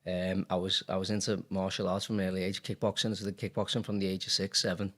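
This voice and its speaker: A man's voice: low voice, level tone, fast, a bit bright. A man in his thirties with a Liverpool accent, a dentist talking candidly and rambling a little in a casual interview. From Liverpool, England. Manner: candid, rambling, many fillers. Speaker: dentist and business owner.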